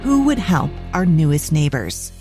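Female narrator, scripted promo, deep dark voice, faint music behind. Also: sing-song, a little slow.

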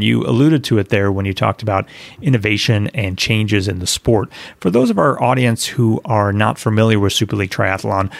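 A man's voice: on the low side, no echo. A man hosting a podcast interview, reading a scripted intro and asking questions. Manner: smooth scripted intro, then relaxed questions. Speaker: podcast host.

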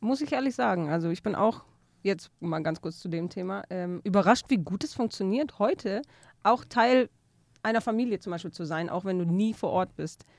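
A woman's voice: medium pitch, lively intonation, moderate pace, vocal fry, no echo. A woman interviewing musicians, chatty and laughing often as she asks her questions. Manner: chatty, laughs often, switches between German and English.

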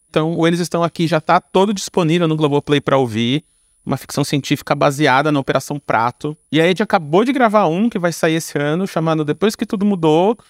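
A man's voice: high-pitched, no room sound, clean studio sound. A man with a Brazilian Portuguese accent talking casually in an interview about his creative work, in an informal, slangy, run-on way with frequent tag questions. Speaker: podcast guest, audio storyteller and creator.

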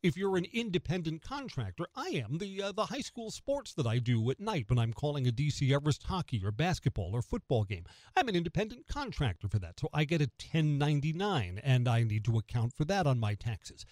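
A middle-aged American man hosting morning radio, giving opinion commentary in a conversational, emphatic way with pointed pauses. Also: on the high side, very animated, darkish tone, no room sound. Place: close, dry radio studio.

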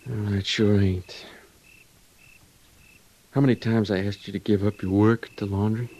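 An American actor playing a worried, tender young cavalry trooper husband in an old-time radio drama, with a folksy rural way of talking. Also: male voice, fairly low pitch, fairly even intonation, normal speed, highs cut off, a little hiss or hum, close to an everyday voice. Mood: troubled, earnest. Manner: folksy rural drawl, gentle and earnest.

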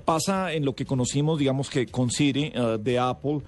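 A man's voice: mid-range voice, normal speed, a little mellow. A man hosting a casual radio interview, talking with many fillers and restarts. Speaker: radio host.

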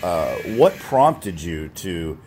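A man's voice: low-pitched, sing-song, extremely slow, a little mellow, a little hiss or hum. An American man working as a radio host, asking questions in a casual interview. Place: stadium radio booth.

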